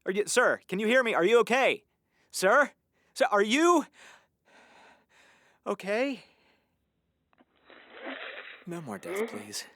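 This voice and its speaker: A man's voice: high voice, animated, slow, vocal fry, pauses often, sharp tone, dry recording, full character voice. A man playing an earnest, easily exasperated small-town radio host with wordy, showy patter in an audio comedy. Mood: flustered, alarmed. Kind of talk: audio drama comedy acting.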